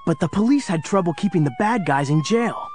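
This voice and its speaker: An actor playing an earnest, youthful superhero sidekick, narrating a children's audio drama. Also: male voice, high-pitched, very animated, fairly quick, darkish tone. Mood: earnest storytelling. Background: music.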